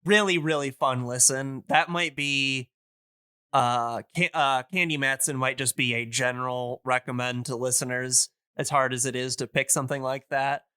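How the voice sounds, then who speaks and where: A man's voice: medium pitch, fairly even intonation, moderate pace, pushed and bright, clear, resonant tone, no echo, clean studio sound. A man in a casual podcast chat, explaining things enthusiastically and in a discursive, meandering way.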